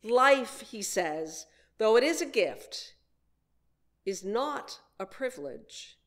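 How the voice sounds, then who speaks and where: A woman minister delivering a sermon in deliberate phrases, with frequent pauses. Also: a little high, big pitch swings. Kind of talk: sermon with read quotations.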